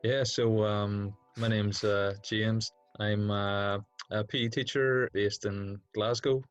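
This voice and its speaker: A man's voice: lowish voice, level tone, slow, smooth, faint music behind. A young man with a Northern Irish accent giving casual, reflective interview answers as a teacher, feeling his way hesitantly through each thought. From Derry, Northern Ireland. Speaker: secondary school PE teacher, interview guest.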